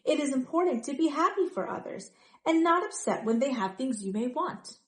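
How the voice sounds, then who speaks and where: A woman's voice: sits high, lively intonation, normal speed, roomy sound. A woman voicing an earnest animal scribe in a children's storybook, declaiming and admonishing with emphatic, preachy delivery. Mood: concerned.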